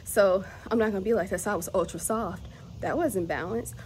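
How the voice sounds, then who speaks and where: A woman's voice: medium pitch, brisk, a little mellow, slight background noise. Candid laughing woman, reflective vlog. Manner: candid, laughs often, spiritual self-talk. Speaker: vlogger, mother of three. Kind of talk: casual reflective monologue.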